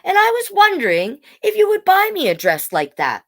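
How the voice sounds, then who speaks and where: Young child, acted by a woman, high pitch, hopeful, earnest, pleading, storybook character. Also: huge pitch swings, moderate pace, fairly bright tone, heavily acted. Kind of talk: children's storybook read-aloud.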